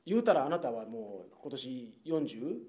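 A middle-aged man, hosting a casual comedic chat and probing his partners with quick reactions and questions. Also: mid-range voice, big pitch swings, dark tone. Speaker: radio show host leading the chat.

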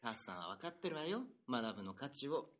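A man's voice: medium pitch, sing-song, a little fast, fairly bright tone, pitched below the performer's own voice. A man putting on a doting middle-aged mother in an improvised radio drama, soothing and gushing over her son. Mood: encouraging. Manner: soothing, gushing, pep-talking.

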